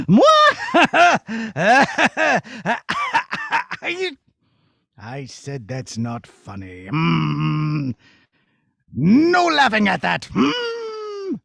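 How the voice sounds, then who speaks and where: A man's voice: sits high, gravelly, a bit bright, dry recording, studio quality, voice pushed down, full character voice. A man voicing a gloating evil wizard in a children's puppet show, gleeful, sneering and mock-polite. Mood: indignant. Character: gloating evil wizard, a scheming gold thief.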